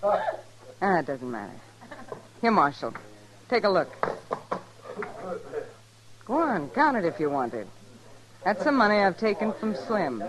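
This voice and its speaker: A woman's voice: lowish voice, low-quality recording. A woman acting a hard, guarded young female gambler in a radio western, with an American accent and a cool, matter-of-fact delivery. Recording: old radio recording, crowd behind.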